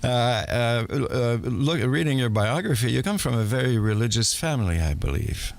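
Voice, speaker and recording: A man's voice: medium pitch, expressive, normal speed, creaky, a little hiss or hum. A male radio host interviewing a guest, listening closely and often murmuring agreement. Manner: attentive, frequent murmured agreement.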